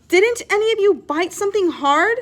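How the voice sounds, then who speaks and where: A woman's voice: very high-pitched, expressive, normal speed, pitched above the performer's own voice. A woman voicing an anxious, guilt-ridden young girl in a storybook, urgent and emphatic. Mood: urgent, bossy.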